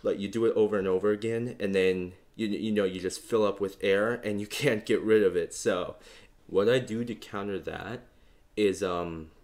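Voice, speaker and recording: A young man with a bass voice hosting a beatbox tutorial in a relaxed, rambling way, full of fillers. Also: moderate pace, a little hiss or hum. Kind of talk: casual instructional talk.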